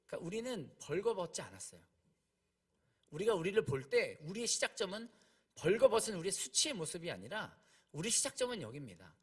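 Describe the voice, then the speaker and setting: A man preaching a sermon, emphatic, slowing down on key phrases and asking rhetorical questions. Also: high-pitched, very animated, breathy edge. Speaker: preacher, junior pastor.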